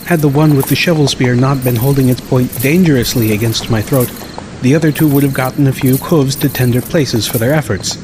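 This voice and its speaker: A man's voice: medium pitch, brisk, background noise. A man reading a first-person story as an audiobook narrator.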